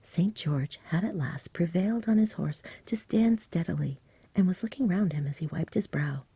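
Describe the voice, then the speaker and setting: A woman reading a story in a hushed, soothing ASMR whisper, close to the microphone. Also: lowish voice, lively intonation, moderate pace, no echo. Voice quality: breathy whisper. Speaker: ASMR reader.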